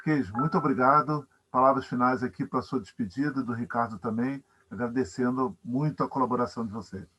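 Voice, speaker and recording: A man's voice: mid-range voice, brisk, few pauses, a bit bright, husky. A man with a Brazilian accent hosting a webinar, speaking politely and formally as he gives thanks. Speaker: webinar host. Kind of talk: courteous closing remarks. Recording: dull, lo-fi online call audio.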